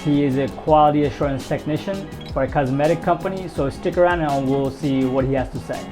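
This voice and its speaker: A man's voice: on the high side, fairly quick, loud music behind the voice. A young man hosting an interview, asking questions in a friendly, loose way with fillers like "kinda" and "you know". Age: young adult. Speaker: interview host. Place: small studio room with plain wall.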